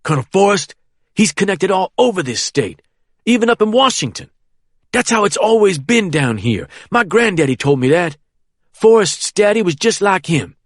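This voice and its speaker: Man voicing a menacing young man, drawling, lively pitch. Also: moderate pace, no room sound, studio quality. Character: menacing young Southern man, cold and threatening.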